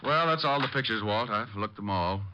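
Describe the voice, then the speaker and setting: An actor playing a cocky, easygoing American private detective with dry sarcasm, in old radio drama style. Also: male voice, on the low side, animated, normal speed, very noisy, lightly acted. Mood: matter-of-fact. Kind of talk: 1950s radio detective acting. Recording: dull vintage radio recording.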